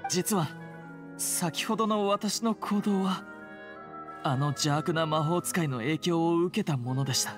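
A woman's voice: on the low side, a little slow, close to an everyday voice. A woman voicing an earnest, timid phantom hunter in a Japanese game, hesitant, polite and formally apologetic. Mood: solemn confession. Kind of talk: Japanese anime game voice acting. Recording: music behind the voice. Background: game music.

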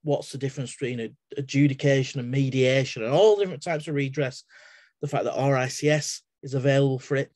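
A middle-aged Englishman explains things to a webinar audience, chatty and digressive, with lots of restarts. His voice sits at an ordinary middle pitch. The sound is close and dry, picked up by a laptop webcam in his home study. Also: fairly even intonation, quick pace, a little mellow, dry recording. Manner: chatty, digressive, with everyday analogies and frequent restarts. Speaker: webinar guest speaker, exam assessor. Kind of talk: casual explanatory talk in a webinar. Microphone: laptop webcam on a video call.

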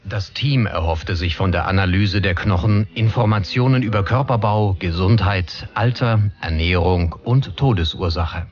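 A man's voice: low-pitched, moderate pace, few pauses, husky, dull audio. A man reading scripted documentary narration as the narrator. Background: prominent background music.

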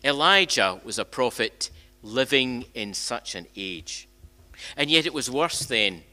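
An older man delivers a conversational sermon from a church lectern. His voice is bright and forceful, with lively swings in pitch. He leans on rhetorical questions and often repeats or restarts a phrase as he goes. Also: a little slow. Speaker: minister preaching. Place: church sanctuary, indoors. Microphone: gooseneck lectern microphone.

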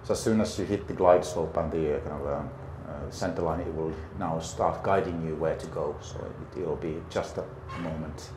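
Deep-voiced calm flight instructor man. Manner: calm, encouraging, with many pauses and fillers. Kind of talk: patient step-by-step instruction. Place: indoor flight simulator dome. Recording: roomy with background hum.

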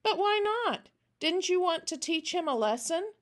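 Eager young girl for a storybook, high-pitched, earnest, bouncy and full of questions. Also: sing-song, unhurried, exaggerated voice. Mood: curious. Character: eager, earnest, curious little girl, servant's daughter.

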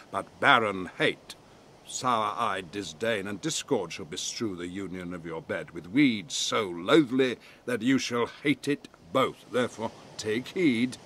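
A man's voice: lowish voice, unhurried, a bit bright, slight background noise. An actor playing a commanding older sorcerer and stern father in a Shakespearean audio play, declamatory and solemn. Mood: solemn, warning.

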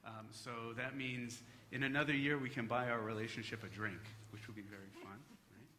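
A middle-aged man speaks at a podium microphone in a hotel conference hall, his voice bright and forward. He talks in a relaxed, easygoing way and scatters ums and uhs through his sentences. A faint hum of room noise sits under him. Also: medium pitch, fairly quick. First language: English. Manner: relaxed, joking, with frequent fillers. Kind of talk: academic conference talk.

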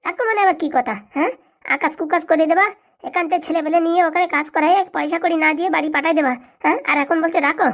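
A woman plays a vengeful village uncle in a cartoon comedy. The words tumble out very fast, scolding, indignant and ready with threats. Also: very high-pitched, dull audio. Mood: furious. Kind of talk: comic cartoon dialogue.

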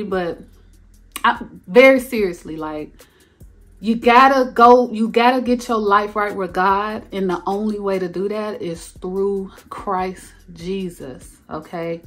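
A woman in her thirties chatting to camera in her bedroom as a lifestyle vlogger, animated and playful, jumping up high for emphasis. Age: 30s. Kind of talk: casual chat to camera. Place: carpeted bedroom at home.

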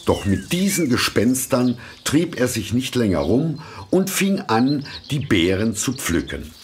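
A man narrating a fairy tale from a script in a measured, expressive storytelling manner. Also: mid-range voice, slight background noise.

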